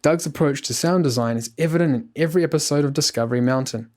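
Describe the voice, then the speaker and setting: A man's voice: on the high side, quick pace, a little mellow. A man reading scripted documentary narration as the host.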